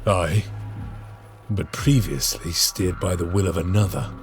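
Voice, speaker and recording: An actor playing a grim veteran space marine sergeant who narrates, solemn and measured with old-fashioned phrasing. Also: male voice, sits low. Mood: stern. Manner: solemn, measured, archaic speech. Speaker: narrator and squad leader. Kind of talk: game cutscene voice acting. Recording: with score music behind.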